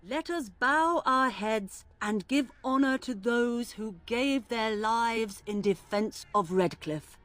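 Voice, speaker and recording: An actress playing a solemn priestess in a fantasy game, speaking reverently and with measured formality. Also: female voice, on the high side, fairly bright tone, dry recording. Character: solemn priestess leading prayer for the fallen.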